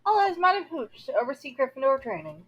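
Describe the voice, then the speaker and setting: Woman as strict older female teacher, prim and halting, high voice that swoops about, audio drama. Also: very animated, fairly bright tone, muffled recording. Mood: stern concern.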